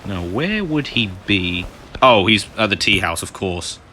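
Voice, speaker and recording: A man's voice: fairly low pitch, lively intonation, a little slow, noisy background. A man giving casual playthrough commentary as a gaming video host, informal and self-deprecating. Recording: voice-over with loud game music behind.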